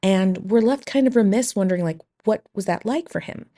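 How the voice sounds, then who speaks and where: A woman's voice: mid-range voice, a little fast, warm tone. A woman leaving a voice message as a listener, giving a thoughtful commentary in monologue.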